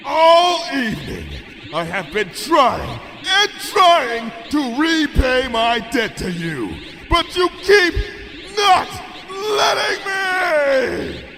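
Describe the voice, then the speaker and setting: A man voicing a regal, theatrical mare princess of the night who speaks in archaic "thou" and "dost" and booms her proclamations. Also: sing-song, extremely slow, vocal fry, pushed and bright, raised voice, a little hiss or hum, full character voice. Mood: furious.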